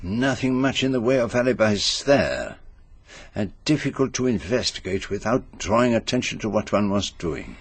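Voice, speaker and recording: Methodical Belgian sleuth, deep-ish, raspy, animated intonation, inquisitive, deliberate, radio mystery play. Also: male voice, no echo. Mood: probing. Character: shrewd, methodical middle-aged male Belgian detective, quietly probing. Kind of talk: British radio drama acting.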